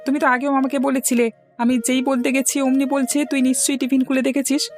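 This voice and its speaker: A young village schoolboy in a cartoon, voiced by a woman. He sounds high-pitched, plainspoken and helpful, with a grumbling streak. Music plays behind the lines. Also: level tone, fairly quick, darkish tone, natural voice. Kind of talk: cartoon dialogue acting.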